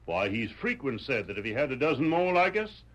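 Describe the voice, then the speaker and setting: A man's voice: medium pitch, very animated, a bit bright, muffled recording. An actor playing a boastful, wheedling rustic con man with a Southern country accent in an old radio western. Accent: American Southern country. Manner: folksy, swaggering fast talker. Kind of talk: old-time radio comic western acting.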